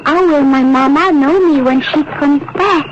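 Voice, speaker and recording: An actress playing a compassionate young rescuer of children in a radio drama, telling things expressively. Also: female voice, sits high, unhurried, noisy background. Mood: tender, sorrowful. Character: tender young woman rescuing refugee children. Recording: dull old radio broadcast, music behind.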